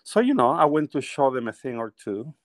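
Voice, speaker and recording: A man's voice: medium pitch, big pitch swings, fairly quick, no room sound, studio quality. A middle-aged man from Peru, a painter telling his life story as a guest in a conversational interview, often laughing as he talks.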